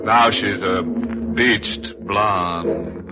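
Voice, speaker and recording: An actor playing a ghoulish, darkly joking horror host, narrating theatrically in 1940s radio style. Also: male voice, sits low, heavy background noise. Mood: darkly amused. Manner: slow, theatrical, punning. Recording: muffled vintage radio, music behind.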